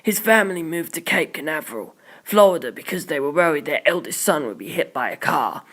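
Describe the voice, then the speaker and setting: Expressive amateur storyteller reading fiction. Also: medium pitch, brisk. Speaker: amateur audiobook narrator.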